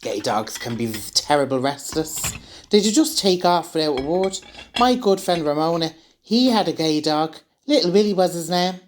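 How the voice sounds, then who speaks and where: A woman's voice: low voice, lively intonation, moderate pace, slight background noise. An actress playing a camp, gushing, gossipy male houseguest in a comedy radio drama. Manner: camp, effusive.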